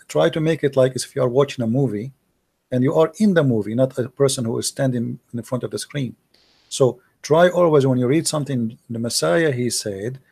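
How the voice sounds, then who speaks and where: A man's voice: mid-range voice, gravelly, darkish tone, husky. A man hosting a call-in show, earnestly advising a caller in slightly non-native English. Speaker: host taking calls.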